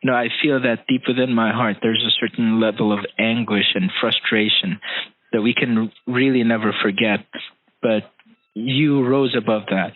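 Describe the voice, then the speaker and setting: A man speaking earnestly and haltingly in a conversation, often pausing to search for his words. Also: on the low side, fairly even intonation. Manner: hesitant, halting delivery. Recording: roomy, tinny phone-like call audio.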